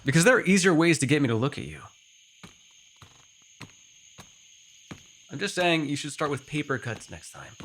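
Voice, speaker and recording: A man's voice: on the high side, lively intonation, fast, fairly bright tone, low-quality recording. Man acting a wry, bickering vampire over background noise. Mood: exasperated, teasing. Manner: teasing, wry, conversational with sighs and hesitations. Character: sarcastic, bickering male vampire with an awkward soft spot. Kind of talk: immersive ASMR roleplay acting.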